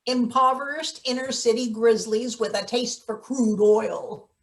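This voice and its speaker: Blunt, suspicious female maintenance worker, acted by a woman, fairly high voice, dry stage-comedy deadpan. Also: a little slow. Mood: deadpan, scheming. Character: gruff, paranoid, scheming female maintenance worker. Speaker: actor. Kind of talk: live table read of satirical play.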